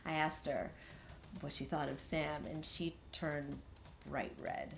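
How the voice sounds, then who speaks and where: A woman's voice: low voice, takes it slowly, vocal fry, heavily acted. A woman playing an earnest witness in an audio drama, speaking hesitantly and conversationally as she recounts events to an investigator. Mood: uneasy, candid. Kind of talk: audio drama interview testimony. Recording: faint background noise.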